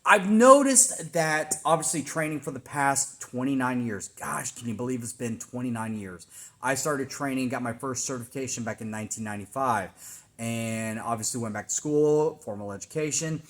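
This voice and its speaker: A man's voice: mid-range voice, normal speed, fairly bright tone. A man in his forties, a fitness trainer, talking casually through a weekly check-in and explaining things with plenty of fillers and restarts. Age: 40s. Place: home workout room.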